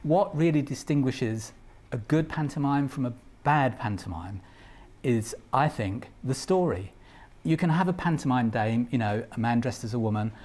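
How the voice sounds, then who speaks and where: Guy explaining stuff in an interview, mellow voice, repeats bits to make the point. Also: mid-range voice, animated, normal speed. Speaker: pantomime commentator.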